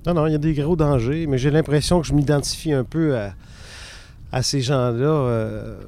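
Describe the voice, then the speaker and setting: A man's voice: medium pitch, normal speed, warm tone, a little hiss or hum. A man in his fifties with a Québécois accent, chatting casually and candidly as a podcast guest, explaining his thoughts. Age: 50s. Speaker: podcast participant, freelance narrator. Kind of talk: casual conversation.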